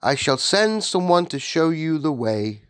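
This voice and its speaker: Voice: medium pitch, big pitch swings, measured pace, smooth, no echo, studio quality, pitched above the performer's own voice. A storybook reader voicing a gracious, self-composed queen with a polite, formal manner. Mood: composed, courteous.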